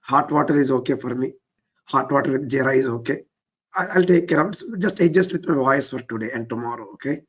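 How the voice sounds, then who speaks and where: A man with an Indian English accent teaching a live technical class. His voice is weak and low, and he keeps repeating his cues to move on step by step. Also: fast, breathy edge, highs cut off. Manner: repetitive, keeps saying "let's get started, step by step". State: voice a bit weak and low from overuse. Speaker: trainer.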